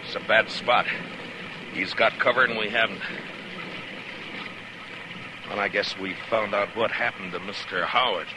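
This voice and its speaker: A man playing a calm, steady frontier marshal. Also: lowish voice, close to an everyday voice. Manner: calm, measured and firm. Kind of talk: 1950s radio drama acting. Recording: hissy old radio transcription.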